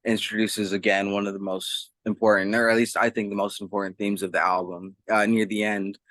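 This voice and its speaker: A man conducting a thoughtful interview, asking long, reflective questions in a measured way. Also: lowish voice, a bit bright, no echo.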